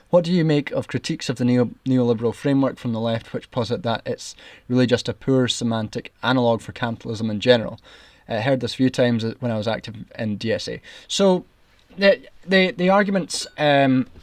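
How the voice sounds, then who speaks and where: A young Scottish man streaming commentary, ranting in an indignant, sarcastic, argumentative way, his voice getting raspy. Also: mid-range voice, no echo.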